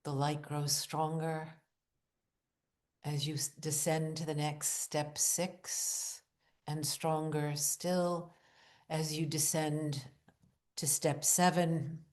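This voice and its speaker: A woman leading a guided visualization, speaking in deliberate short phrases with long pauses, in a hushed, soothing, incantatory way. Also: deep voice, flat delivery. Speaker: guided meditation leader. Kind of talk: guided visualization, meditative narration.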